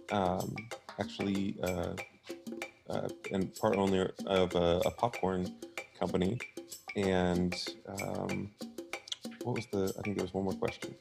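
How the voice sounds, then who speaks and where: A man in his thirties from Oklahoma answering interview questions, hesitant and filling pauses with ums and uhs. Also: low voice, vocal fry. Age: 30s. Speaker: interviewee, business owner.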